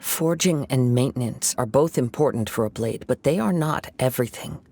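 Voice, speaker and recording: An actor voicing a calm, measured young male wandering samurai who speaks in a reflective way. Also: mid-range voice, slight background noise.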